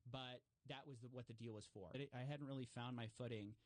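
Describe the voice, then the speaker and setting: A man's voice: medium pitch, fairly even intonation, quick pace, no echo. A man talking casually as a podcast guest, explaining and telling stories in a conversational way. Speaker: podcast guest, investigative journalist.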